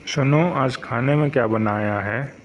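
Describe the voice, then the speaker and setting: A man's voice: medium pitch, a little slow, dull audio, natural voice. A man playing a humble woodcutter husband in a cartoon folk tale. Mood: questioning. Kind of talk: Hindi cartoon story dialogue.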